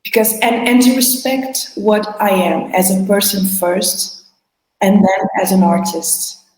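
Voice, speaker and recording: A woman's voice: mid-range voice, darkish tone. Woman chatting in english, keeps restarting her sentences, echoey room. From Portugal. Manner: halting, restarts phrases, laughs between answers. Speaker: singer, interview guest. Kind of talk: casual interview answers.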